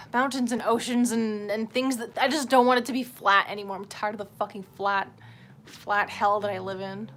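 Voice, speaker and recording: A woman livestreamer talks off the cuff in an average-pitched voice, with some background noise around her. Her chat rambles and is candid, peppered with swearing and self-deprecation. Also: level tone, moderate pace, fairly bright tone. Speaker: livestream host.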